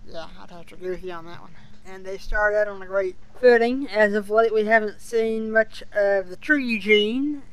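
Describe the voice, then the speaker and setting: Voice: mid-range voice, moderate pace, few pauses, fairly bright tone, rough recording. A podcast co-host chatting casually in a somewhat hesitant, conversational way about a show they follow. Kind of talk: casual fan discussion of an audio drama series.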